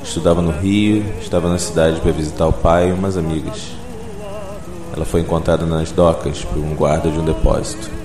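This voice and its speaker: A low, world-weary Brazilian police detective, played by a male actor in a noir audio drama. He is blunt, sardonic and foul-mouthed, with music behind him and a roomy echo around the voice. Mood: grim, weary.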